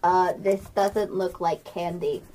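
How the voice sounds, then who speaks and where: A woman's voice: fairly low pitch, fairly even intonation, slow pace, dark tone, slight background noise, voice pushed down. A woman voicing a naive, childlike, plaintive little creature obsessed with candy in a tabletop game. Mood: puzzled.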